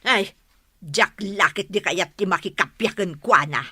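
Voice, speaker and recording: A woman's voice: mid-range voice, measured pace, pushed and bright, breathy edge, dry recording, clean studio sound. An Ilocano-accented woman playing an unrepentant, stubborn older village mother in a radio drama. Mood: stubborn, defensive.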